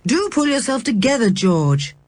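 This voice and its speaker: Woman voicing a brisk, sensible schoolboy, lively pitch. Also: slightly rough, no room sound, heavily acted. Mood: impatient. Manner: brisk, reasonable, mildly impatient. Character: sensible schoolboy, bossy elder of the children. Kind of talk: children's audiobook character voice.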